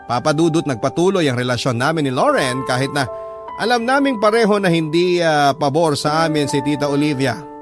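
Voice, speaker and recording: A man's voice: a little high, lively intonation, brisk, natural voice. A man with a Filipino accent playing a sincere boyfriend, narrating his love story confidingly in a scripted radio drama. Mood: earnest confiding. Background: music under the voice.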